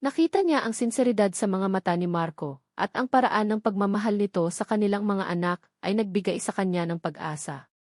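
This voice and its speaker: A woman's voice: medium pitch, brisk, darkish tone, dry recording, studio quality. A woman with a Filipino accent narrating a scripted dramatic romance story.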